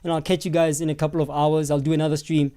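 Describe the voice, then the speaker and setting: A hoarse South African man hosting a live stream, reading viewers' comments out loud and answering them in an animated way. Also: a little high, fast talker. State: hoarse, losing his voice.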